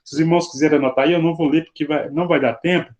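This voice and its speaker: A man's voice: on the high side, fairly even intonation, racing, a little mellow, highs cut off. A man with a Brazilian accent leading an informal expository Bible study over a video call, explaining in a didactic, conversational way with frequent tag questions.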